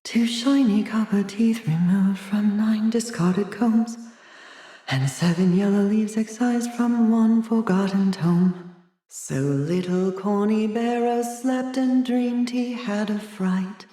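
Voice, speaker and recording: A woman's voice: takes it slowly, warm tone, reverberant, far from the mic, soft background music, natural voice. An actress playing an unhinged, haughty woman doctor locked away in an asylum, theatrical and erudite in a video game. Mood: eerie, singsong reciting. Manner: theatrical, erudite, haughty, swinging from whispers to shrill outbursts. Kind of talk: dramatic video game character acting.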